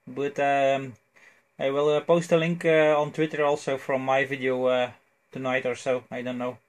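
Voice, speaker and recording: A man with a Belgian accent chats casually as an amateur radio operator, phrasing things haltingly. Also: medium pitch, little pitch movement. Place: indoors at a cluttered electronics desk. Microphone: cell phone near the desk.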